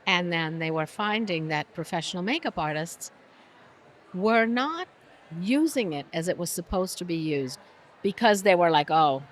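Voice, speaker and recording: An older woman from Romania with a somewhat low voice. She chats freely and warmly in conversation, laughing often and acting out quotes in little voices. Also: lively intonation, normal speed. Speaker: guest, business owner. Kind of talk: casual interview.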